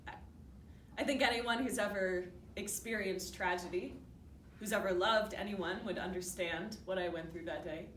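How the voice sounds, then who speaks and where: A woman playing a grieving but upbeat widow from Seattle in a dramatic monologue, talking haltingly and conversationally as if interviewed for a documentary. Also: mid-range voice, fairly bright tone, voice pushed down, lightly acted. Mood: guarded, pained. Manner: halting, conversational, with nervous asides. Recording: distant roomy hall recording with faint noise.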